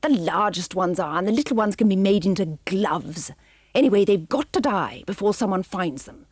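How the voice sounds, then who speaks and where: Woman doing a storybook villainess, shrieking, imperious, gleefully cruel, husky, swooping pitch. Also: animated, normal speed, darkish tone, dry recording, clean studio sound. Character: shrill cruel rich woman villain, fur-obsessed.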